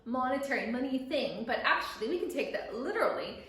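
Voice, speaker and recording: A middle-aged woman explaining things to children in an expressive, playfully emphatic way. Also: high voice, quick pace. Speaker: guest storyteller and children's teacher. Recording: very echoey room.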